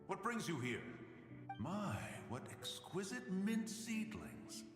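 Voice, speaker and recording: A man's voice: high voice, very animated, slow pace, full character voice. An actor voicing a refined, fussy male immortal with flowery, formal speech in a video game. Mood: effusive, delighted. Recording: echoey reverb with music behind.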